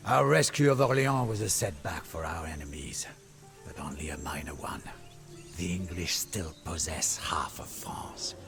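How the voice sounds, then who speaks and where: A weary, loyal soldier chronicling a medieval war for a video game. His voice is very low and creaky, with a breathy edge. He tells it gravely and theatrically, at a measured tread, over prominent music. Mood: grave, resolute. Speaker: narrator. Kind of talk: dramatic scripted storytelling for game cutscenes.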